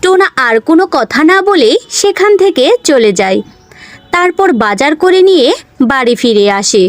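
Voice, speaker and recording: Woman narrator, high pitch, animated intonation, scripted storytelling, dry studio-like sound. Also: moderate pace, no room sound, soft background music.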